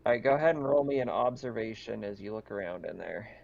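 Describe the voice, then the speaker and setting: A man's voice: lowish voice, expressive, fast, vocal fry, darkish tone. A man running a tabletop horror roleplaying game as game master, moving between improvised scene narration and casual questions to players. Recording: dull, lo-fi online game audio.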